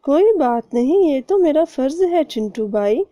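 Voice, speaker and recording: A woman plays a kind little female bird from a cartoon tale. Her voice is high and very mellow, with an earnest, polite manner. She sounds caring and helpful, in a close dry recording. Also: very animated, measured pace, dry recording. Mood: warm.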